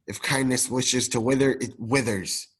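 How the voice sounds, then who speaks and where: A man's voice: mid-range voice, fairly even intonation, moderate pace, fairly bright tone, breathy edge. A young man acting an anxious, stubborn old miser in a radio play. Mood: defiant.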